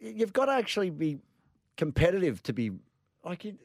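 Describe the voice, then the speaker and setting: A man's voice: high-pitched, big pitch swings, no echo, studio quality. An Australian man in his forties co-hosting a casual sports chat, stopping and restarting his sentences often. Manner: halting, repeats words when starting sentences. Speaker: co-host.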